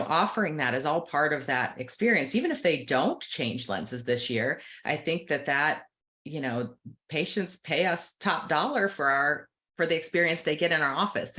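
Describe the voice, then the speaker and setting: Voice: medium pitch, sharp tone. An optometrist with an American accent who owns a practice, explaining things earnestly in a conversational interview. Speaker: optometrist and practice owner, podcast guest.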